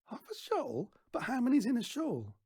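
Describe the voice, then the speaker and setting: A man's voice: very high-pitched, wildly swooping pitch, normal speed, creaky, breathy edge, dry recording, studio quality, pitched above the performer's own voice, full character voice. A man voicing a small, earnest, polite young boy in a storybook reading. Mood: puzzled. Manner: soft, earnest, hesitant.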